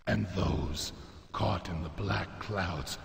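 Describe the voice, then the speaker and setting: An actor playing a grave, solemn storyteller in a fantasy game cutscene, speaking in a portentous, measured, gravelly way with a reverberant echo. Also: male voice, low voice, steady tone, exaggerated voice. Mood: grave, urgent. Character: grave male fantasy narrator, solemn war-council storyteller. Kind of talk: dramatic scripted game cutscene narration. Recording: reverberant hall echo, faint noise.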